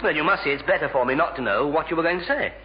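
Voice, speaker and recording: Noisy old bbc-style radio comedy, actor doing flustered english guy, dodgy and bumbling, trips over words, bouncy pitch. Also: animated, very fast, natural voice. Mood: evasive. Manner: flustered, stammering, muddling his words. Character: bumbling, evasive man desperately staying neutral. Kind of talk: 1950s-60s British radio sitcom acting. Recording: noisy, muffled vintage radio recording.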